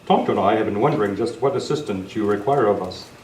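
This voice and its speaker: A man's voice: fairly low pitch, fast, darkish tone, natural voice. A man playing a courteous, confident cowboy lawman hero in an amateur old-time radio script reading, formal and earnest. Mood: earnest, inquiring. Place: club meeting room. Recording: roomy, distant camera mic, faint noise.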